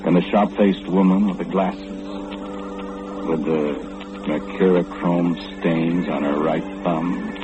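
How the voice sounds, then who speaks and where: A man's voice: low voice, steady tone, takes it slowly, music in the background. An actor playing a smug, impatient, all-knowing businessman in an old-time radio horror play, with fussy, precise diction and a pompous manner. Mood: ominous, knowing. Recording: muffled, noisy old-time radio recording.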